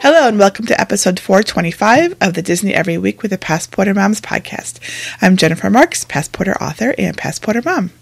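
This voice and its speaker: A woman's voice: mid-range voice, lively intonation, fairly quick, gravelly. A woman with a slightly scratchy voice hosting a podcast in a casual, chatty way. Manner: chatty, laughs easily. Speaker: podcast host.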